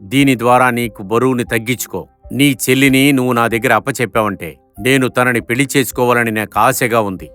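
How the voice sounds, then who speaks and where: A man voicing a lecherous, threatening middle-aged moneylender villain in an animated story, gloating as he talks. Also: mid-range voice, fairly quick, faint music behind, natural voice. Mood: menacing. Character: lecherous, menacing 47-year-old male moneylender villain.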